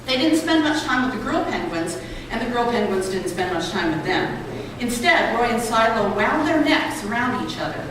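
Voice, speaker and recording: A woman reading aloud to a gathering with clear, expressive storybook phrasing. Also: fairly high pitch, normal speed. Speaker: reader at a public read-aloud event. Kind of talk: reading a children's book and an essay aloud. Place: college building lobby. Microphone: distant microphone. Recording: echoey hall, distant mic, noisy ambience.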